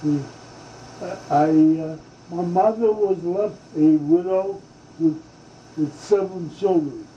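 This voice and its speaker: An older man from Hungary, recalling his family's past in an oral history interview, speaking haltingly with many fillers and restarts. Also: on the high side, dark tone, slight background noise. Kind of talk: slow reminiscing oral history. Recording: dull lo-fi tape in a roomy space.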